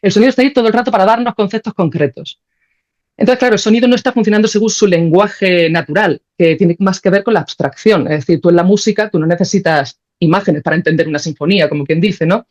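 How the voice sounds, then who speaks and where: A woman's voice: mid-range voice, quick pace, darkish tone, muffled recording. A woman answering as a podcast guest, explaining ideas conversationally and thinking aloud, often checking that she is understood. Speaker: podcast guest, sound creator.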